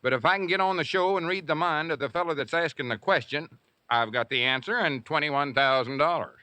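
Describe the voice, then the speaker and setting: An actor playing an earnest, bossy, scheming country storekeeper with a rural Southern accent in an old radio comedy. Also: male voice, fairly high pitch, brisk, sharp tone, dry recording. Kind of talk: 1940s radio comedy acting.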